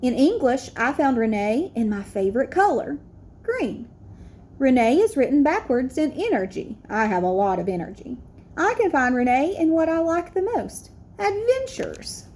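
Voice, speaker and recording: Woman reading as a proud young schoolboy, high voice. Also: very animated, moderate pace, darkish tone, a little hiss or hum. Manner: earnest, declarative. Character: proud, determined young immigrant schoolboy telling his story.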